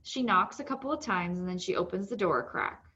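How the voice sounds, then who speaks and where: A woman's voice: medium pitch, brisk, pushed and bright, muffled recording. A woman reading a children's novel aloud as scripted narration.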